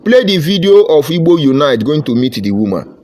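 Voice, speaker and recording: A man's voice: on the high side, big pitch swings, fairly quick, a little mellow. A Nigerian man giving a fervent, combative political monologue, slipping into Pidgin phrases. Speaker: political commentator.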